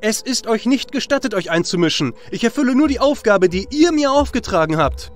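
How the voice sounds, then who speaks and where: Man playing a brash high-pitched warrior hero. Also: lively intonation, brisk. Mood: indignant, defiant. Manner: brash, indignant, plain-spoken. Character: bold, defiant male warrior hero, son of Mars. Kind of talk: audio drama character. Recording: music under the voice.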